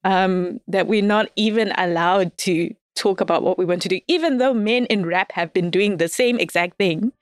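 A woman's voice: medium pitch, normal speed, hardly any pauses, smooth, no echo, clean studio sound. A woman as a podcast guest, chatting casually, laughing often and telling stories with animation. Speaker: guest, audio fiction writer. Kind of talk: casual interview chat.

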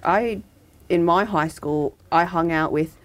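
Aussie woman, kinda low voice, lots of "like", hesitant and giggly. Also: takes it slowly. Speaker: podcast co-host. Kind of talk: casual chat.

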